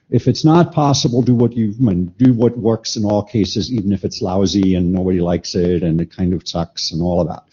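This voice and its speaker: Low, mellow male meeting participant, casual and animated, dull room recording. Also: husky. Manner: animated, informal, thinking aloud with fillers. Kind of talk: technical discussion at a standards meeting. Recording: dull lo-fi conference room audio.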